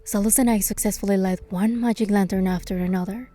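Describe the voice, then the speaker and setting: A woman voicing a bold, curious young heroine, with expressive storytelling delivery in a fantasy game. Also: medium pitch, fast, soft background music, natural voice. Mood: narrating, hushed wonder. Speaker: fan dub voice actor and narrator. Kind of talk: scripted first-person fantasy game narration and dialogue.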